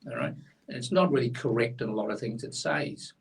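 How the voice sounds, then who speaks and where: Gravelly man, blunt and opinionated. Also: mid-range voice, expressive, fairly quick, far from the mic. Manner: blunt, opinionated, explains in plain terms. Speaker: co-host, tax commentator. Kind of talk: casual explanatory chat.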